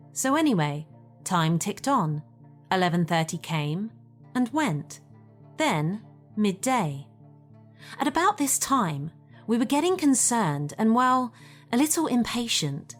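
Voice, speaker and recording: A woman with a British accent reading a scripted first-person story as a storyteller. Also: medium pitch.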